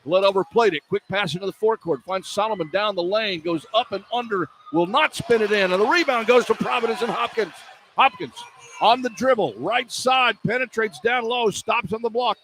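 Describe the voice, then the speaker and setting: Male play-by-play announcer, slightly high voice, clipped excitable delivery, live college basketball radio broadcast, noisy indoor arena behind. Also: brisk. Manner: clipped running descriptions, bursting into excited calls. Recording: clear arena noise behind the voice.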